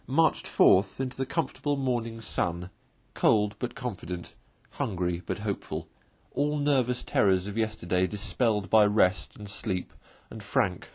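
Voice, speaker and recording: Animated, husky male storybook narrator. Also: lowish voice. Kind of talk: expressive read-aloud narration of a classic children's story.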